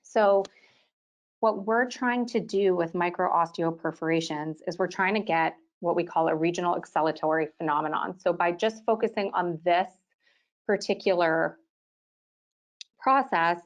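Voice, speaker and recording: A woman in her thirties with a slightly low voice, lecturing to a webinar audience. She talks as an orthodontist in a clear, organized way, often leading into her points with "so". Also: normal speed, dull audio. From Quebec, Canada. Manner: clear, organized delivery, often opening sentences with "so". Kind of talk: continuing-education webinar lecture.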